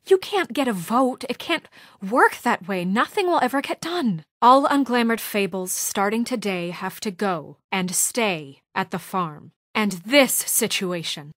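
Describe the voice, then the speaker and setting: A woman's voice: medium pitch, animated, unhurried, a bit bright, dry recording, studio quality. A woman playing a principled young deputy mayor in a story video game, speaking with earnest, firm exasperation in naturalistic dramatic dialogue. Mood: stern, resolute.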